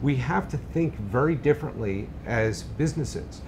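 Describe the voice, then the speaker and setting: A man with a mid-range voice speaks in a relaxed, reflective way, often saying "you know". He sits on a studio panel, and a rumble is clearly heard behind him. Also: moderate pace. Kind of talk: panel discussion.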